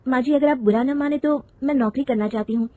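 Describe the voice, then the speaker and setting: A woman's voice: sits high, a little fast, dark tone, no room sound, voice pushed up, lightly acted. Woman as an earnest young daughter-in-law. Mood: hopeful. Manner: polite, earnest.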